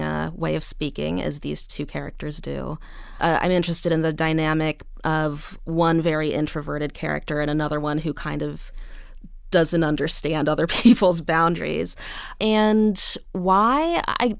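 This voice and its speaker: A woman's voice: low voice, vocal fry, clean tone, no echo, clean studio sound. A woman answering interview questions as a guest playwright, conversational, explaining things in a thoughtful way.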